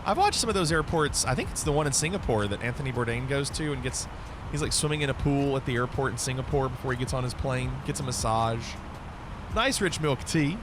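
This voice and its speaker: A man's voice: medium pitch, animated, fairly quick, noisy background. Chatty man streaming a flight sim. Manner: chatty, reads and answers viewer chat between radio calls. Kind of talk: casual live-stream chat.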